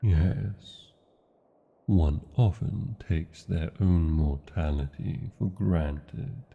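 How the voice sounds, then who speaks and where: A British man playing a tender, brooding dragon lover in a romantic fantasy ASMR roleplay, speaking formally with old-fashioned endearments in a gravelly, husky voice. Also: deep voice, very slow, heavily acted. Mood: tender, pensive. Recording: muffled, narrow phone-like sound.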